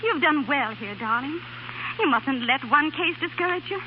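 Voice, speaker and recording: A woman's voice: high-pitched, lively intonation, moderate pace. A woman acting a plucky, devoted young sweetheart in a 1930s radio drama, folksy, affectionate and plain-spoken. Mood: consoling. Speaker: radio actress. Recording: muffled, hissy 1930s radio broadcast.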